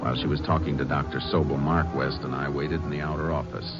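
An actor playing a laconic Texas Ranger who investigates and narrates, in a measured American voice in 1950s radio drama style. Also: male voice, very deep voice, little pitch movement. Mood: measured narrating. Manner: measured, matter-of-fact. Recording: muffled old radio recording, music behind.

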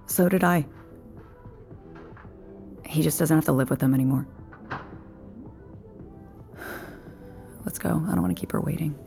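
A woman's voice: sits low, brisk, creaky, music in the background. An actress playing a blunt, wry but caring woman in an audio drama, talking in a naturalistic, teasing way. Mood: defiant, guilty.

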